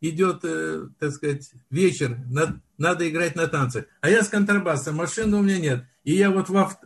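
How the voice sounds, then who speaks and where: An older man with a husky, breathy voice that sits high for a man. He reminisces at an easy pace, often stopping and restarting mid-word as he recalls details. It sounds like a relaxed conversational interview. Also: a little fast. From Soviet Union. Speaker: film director, interview guest. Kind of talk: unhurried storytelling in conversation.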